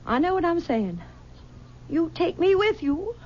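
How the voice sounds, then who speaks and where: A woman's voice: very high voice, big pitch swings, darkish tone, exaggerated voice. An actress playing a possessive, aging mother who coaxes and stammers, in a 1970s radio drama. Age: older. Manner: coaxing and possessive, halting with stammers. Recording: dull 1970s radio broadcast.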